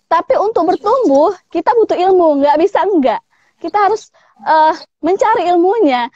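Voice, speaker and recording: A woman's voice: extremely high pitch, expressive, moderate pace, a little mellow, no room sound, dull audio. A woman from Palembang in her thirties, a food business owner chatting casually in an interview over a video call, with frequent laughs. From Palembang, Indonesia. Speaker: pempek business owner, guest.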